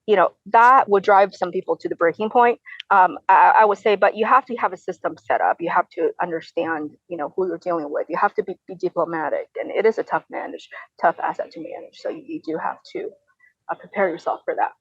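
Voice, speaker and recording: A woman's voice: on the low side, animated, a little fast, creaky, husky, no echo, dull audio. A woman in her twenties answering conversationally as an interview guest, often repeating and rephrasing her phrases. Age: 20s. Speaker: podcast guest, real estate investor.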